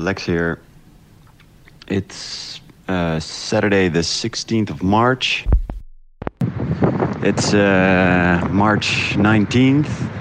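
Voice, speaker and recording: A man's voice: fairly low pitch, very noisy. A man from the Netherlands talking casually and a bit hesitantly, unscripted, as a guest creator. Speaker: audio drama creator, interview guest. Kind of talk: casual voice memo and call chat.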